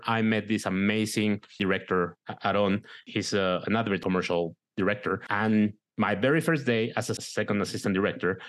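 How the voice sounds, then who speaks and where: A middle-aged man from Mexico with a Mexican Spanish accent, a guest talking through his career, often repeating words as he searches for them. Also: fairly low pitch. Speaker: guest, director and producer. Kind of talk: casual interview talk.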